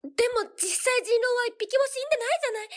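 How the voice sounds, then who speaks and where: A woman acting in an audio drama as a blunt young villager with a forceful, accusatory delivery. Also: very high-pitched, fast. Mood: accusing.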